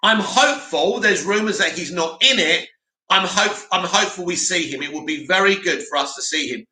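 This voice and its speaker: A man hosting a live stream, reading out viewer comments and answering them in a loud, opinionated way with lots of restarts. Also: sits high, animated, normal speed. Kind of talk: casual live chat. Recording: echoey room.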